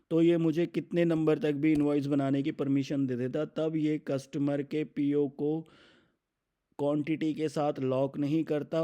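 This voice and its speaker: An Indian man narrates a screen demo step by step. His voice sits a little high for a man but sounds soft and mellow. He explains in an instructional way, dropping English terms into his sentences. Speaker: software demo presenter.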